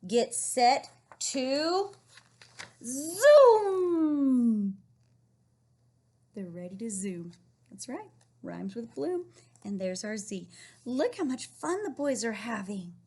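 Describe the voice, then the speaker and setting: Animated, high-voiced woman reading to young children. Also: extremely slow, long pauses. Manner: animated, encouraging, questioning, as if to small children. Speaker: read-aloud storyteller teaching young children. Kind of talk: picture-book read-aloud with questions to children.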